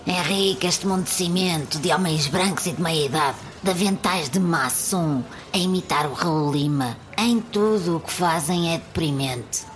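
A woman's voice: lowish voice, normal speed, close to an everyday voice. A scornful woman played by an actress. She speaks with dry, weary sarcasm in radio soap style. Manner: dry, sardonic. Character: scornful, dry woman, wearily critical companion.

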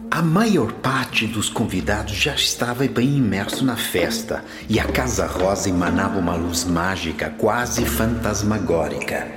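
A man's voice: fairly low pitch, lively intonation, measured pace, hardly any pauses. A man narrating a radio soap opera in scripted storytelling style. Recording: roomy echo, music behind.

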